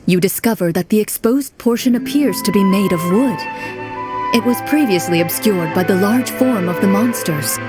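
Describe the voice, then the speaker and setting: A woman playing a composed, formal young huntress who narrates as game master in a video game, with measured storytelling. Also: mid-range voice, natural voice. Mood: dramatic. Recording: music behind the voice.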